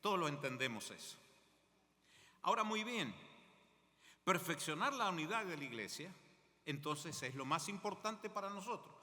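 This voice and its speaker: An older Argentine man preaches with a bright, forceful voice that rises and falls in lively swings. He talks to the congregation in a conversational way and often checks in with them through questions. His voice carries a long echo, as if it were recorded in a church hall. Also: on the high side, moderate pace, pauses often. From Mendoza, Argentina. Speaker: guest preacher. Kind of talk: sermon.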